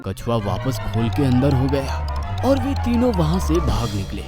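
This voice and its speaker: A man narrating a scripted children's story in an expressive storytelling style. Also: medium pitch, unhurried. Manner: expressive, animated. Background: background music.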